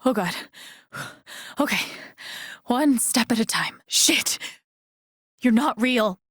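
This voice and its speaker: A woman voicing a young, introspective, dry-humored game heroine, murmuring her thoughts in a husky, breathy voice. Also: a little high, dry recording. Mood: anxious, shaken. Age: young adult. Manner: murmured, breathy thinking aloud, often near a whisper. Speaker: video game voice actress. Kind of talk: soft inner-monologue game voice acting.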